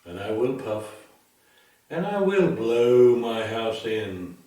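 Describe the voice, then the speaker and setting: A man voicing a menacing storybook big bad wolf with booming, drawn-out demands. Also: big pitch swings, dark tone. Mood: loud threat. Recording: very echoey room, distant microphone.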